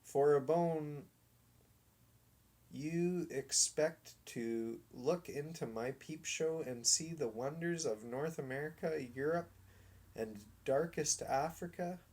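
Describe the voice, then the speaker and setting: A man voicing a shifty, sharp-tempered showman in a children's storybook, scornful, haggling and theatrical. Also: on the high side, slow pace, pitched above the performer's own voice. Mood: indignant. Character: shifty, sneering, sharp-tempered male peep-show showman. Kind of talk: children's storybook read aloud.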